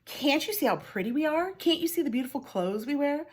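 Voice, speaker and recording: Woman acting out young storybook sister, vain, cruel, high voice, insistent, demanding. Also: lively intonation, a little fast, darkish tone. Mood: boastful. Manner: haughty, insistent, stammering when challenged.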